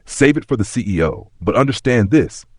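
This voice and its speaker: A man voicing an angry, scolding airline supervisor in an audio story, clipped, forceful and accusing. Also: lowish voice, sing-song, normal speed, breathy edge, dry recording, clean studio sound, pitched above the performer's own voice.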